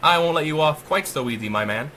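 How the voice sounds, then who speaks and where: A man's voice: a little high, expressive, fast, sharp tone, a little hiss or hum, pitched above the performer's own voice. A man voicing a sadistic, taunting female AI who thinks herself a goddess, in a theatrical horror audiobook reading. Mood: gloating. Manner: mocking, theatrical.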